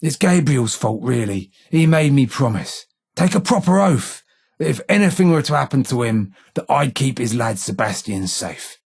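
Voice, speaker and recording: A weary old London underworld informer for a video game. The voice is rough with fry and rasp, carrying a London accent. The delivery is measured and wry, full of street slang and old guilt. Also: male voice, mid-range voice, big pitch swings, a little mellow, full character voice. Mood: weary, remorseful. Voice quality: husky, gravelly. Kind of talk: video game character dialogue.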